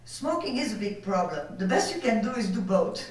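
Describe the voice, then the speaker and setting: A woman from Belgium with a French accent, whose first language is French, giving a conference lecture over slides and pausing now and then to search for words. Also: mid-range voice, sing-song. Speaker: conference speaker. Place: conference hall. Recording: echoey hall from far off.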